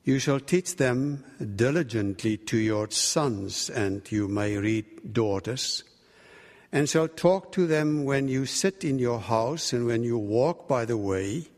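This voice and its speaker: South African preacher in a reverberant hall. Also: male voice, medium pitch, animated, takes it slowly, darkish tone. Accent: South African Afrikaans. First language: Afrikaans. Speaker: guest preacher and academic. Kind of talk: sermon with prayer and scripture reading. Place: large reverberant room. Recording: echoey hall with long reverb.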